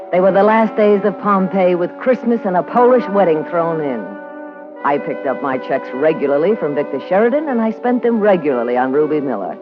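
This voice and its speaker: An actress with an American accent playing a hard-boiled, wisecracking party girl and blackmailer in a vintage radio drama, with a tough, sardonic manner. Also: deep voice. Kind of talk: 1950s radio noir acting, narration and dialogue. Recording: muffled vintage radio recording with music.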